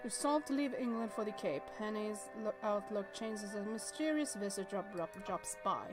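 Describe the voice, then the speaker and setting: A woman's voice: medium pitch, expressive, moderate pace, over music. A woman reading visual novel text aloud and adding chatty reactions as she plays. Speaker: let's-play streamer.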